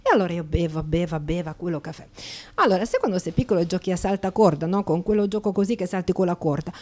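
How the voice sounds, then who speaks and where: A woman's voice: fairly low pitch, animated, fast talker, a little mellow. An actress playing a chatty half-Slovak prostitute telling her life story in Slovak-accented, broken Italian, repeating words and checking in with her listener. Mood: playful, reminiscing. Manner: broken grammar, tag questions, repeats words for emphasis. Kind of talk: intimate theatrical monologue addressed to a listener.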